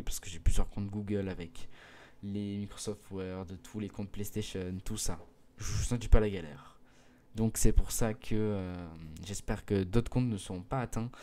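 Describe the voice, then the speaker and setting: Hesitant teenage boy with a mellow voice. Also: lowish voice. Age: mid-teens. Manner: hesitant, many fillers and restarts; earnest, pleading with viewers. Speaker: gaming video creator. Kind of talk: casual explanation to viewers.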